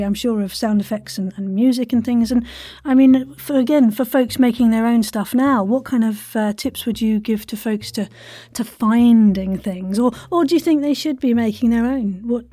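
A woman's voice: fairly high pitch, dark tone, no echo. A woman hosting a casual interview, chatty and encouraging, asking questions in a roundabout way. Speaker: interviewer, podcast host.

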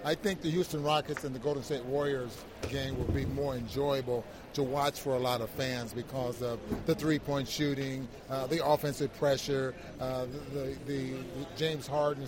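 Halting male basketball analyst over crowd noise. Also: mid-range voice. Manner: halting, repeats words as he builds a point. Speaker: basketball broadcast co-host, analyst. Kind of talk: casual post-game sports analysis.

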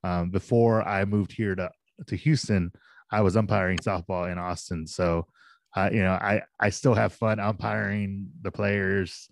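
A man's voice: low voice, fairly even intonation, measured pace, vocal fry, a little hiss or hum. A man in his forties, a sports league director of operations, chatting casually and self-deprecatingly in an interview. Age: 40s. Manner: easygoing, self-deprecating, laughs often.

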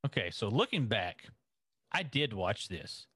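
A man co-hosting a podcast, chatting about movies with wry jokes and animated reactions. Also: fairly low pitch, measured pace, husky, dry recording. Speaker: podcast co-host. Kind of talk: casual chat about movies.

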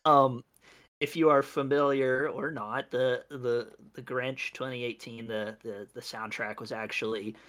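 A young man co-hosts a casual chat podcast with a bright, forward tone. He rambles, filling gaps with ums and uhs and restarting his sentences. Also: mid-range voice, little pitch movement, measured pace. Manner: rambling with many fillers and restarts. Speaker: podcast co-host.

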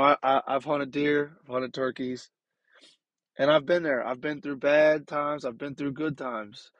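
A man's voice: mid-range voice, no echo. Nasal, sick-sounding young man hosting. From Maryland. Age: late 20s. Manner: rambling, many fillers and restarts. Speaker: podcast host. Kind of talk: casual solo podcast.

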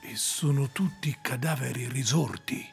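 A man's voice: medium pitch, a little slow, vocal fry, husky, faint music behind, full character voice. A man voicing a haughty warlock. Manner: haughty, defiant. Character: male warlock, proud and prickly.

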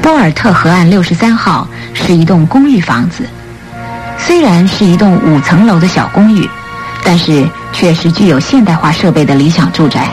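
嗓音偏低的女旁白. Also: female voice, fairly low pitch, animated, over music, poor audio. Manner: measured, formal storytelling. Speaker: narrator. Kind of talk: scripted radio drama narration.

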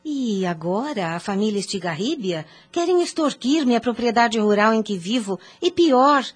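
A woman's voice: on the high side, lively intonation, normal speed, breathy edge. An actress playing an anguished, devout mother in a radio soap opera, speaking in a formal, pleading and emphatic way. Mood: pleading, indignant. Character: anguished, devout mother pleading to protect her young daughter.

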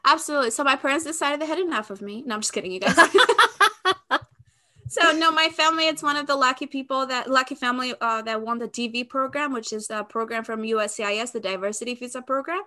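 A woman's voice: high voice, fast, bright, forceful tone. A woman of about thirty from Albania with an Albanian accent, chatting expressively as an interview guest. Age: around 30. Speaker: podcast guest, sales expert. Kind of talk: conversational interview answers.

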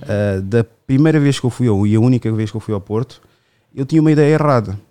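Um homem com sotaque português europeu, anfitrião de podcast, numa conversa descontraída, com frases soltas, piadas e recomeços. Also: male voice, medium pitch, quick pace, warm tone, clean tone. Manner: rambling, joking, with many restarts. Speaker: podcast host. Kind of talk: casual podcast interview.

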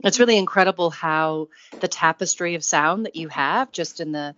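A woman's voice: deep voice, moderate pace, fairly bright tone, no room sound, dull audio. A woman hosting a music show in a casual interview style, with a gushing, admiring manner.

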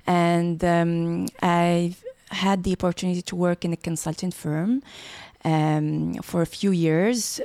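Lady in her 40s, talks slow and kinda gravelly, lots of ums and restarts, dry sound. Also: fairly low pitch, a little mellow, dry recording. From Morocco. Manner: hesitant, many fillers and restarts. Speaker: podcast guest, startup programs director. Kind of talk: interview answers.

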